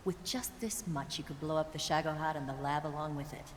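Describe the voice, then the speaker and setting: Woman acting a sultry, low-voiced female spy. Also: steady tone, brisk, a little hiss or hum, close to an everyday voice. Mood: confident, briefing. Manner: flirtatious, cool. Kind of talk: dramatic video game cutscene acting. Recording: long reverb, music behind the voice. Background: game soundtrack music.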